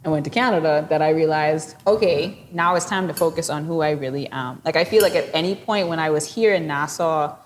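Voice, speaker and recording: A woman's voice: low voice, brisk, hardly any pauses. Chatty Bahamian woman in a roomy space. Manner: chatty, laughs often, trails off with "like". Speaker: podcast co-host. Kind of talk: casual chat. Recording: roomy reverb, faint background hiss.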